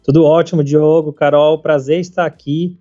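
A man, chatting casually as a podcast guest, halting and full of fillers and casual slang. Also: a little high, little pitch movement, warm tone. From Salvador, Brazil. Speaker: startup founder, podcast guest. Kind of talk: casual conversational interview. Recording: slightly muffled, faint background music.

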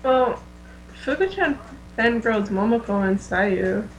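A woman's voice: a little high, animated, takes it slowly, low-quality recording. A woman chatting casually on a fan podcast in an informal way, peppering her talk with "like". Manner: informal, frequently says "like". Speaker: podcast co-host. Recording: faint noise, mains hum.

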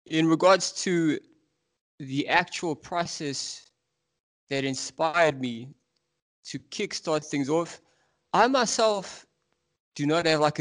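A man in his thirties from South Africa, with a South African accent, talking earnestly and at length as a podcast guest. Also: mid-range voice. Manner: earnest, fast-flowing, passionate explaining. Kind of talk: casual interview talk.

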